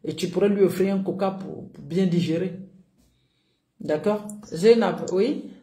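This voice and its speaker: A woman's voice: lowish voice, a little slow, roomy sound. A woman marriage coach giving advice to callers, checking in with listeners. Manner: warm-toned counsel with repeated "d'accord?".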